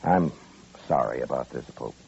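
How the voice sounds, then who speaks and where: Casting: weary American western lawman, bottomless low voice, dry measured delivery, vintage radio drama sound with music. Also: vocal fry, darkish tone, breathy edge, full character voice. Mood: grave, resigned. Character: stern, weary Old West lawman, grimly principled. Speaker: lead actor and narrator. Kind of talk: 1950s radio western acting and narration. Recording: muffled old radio recording with music.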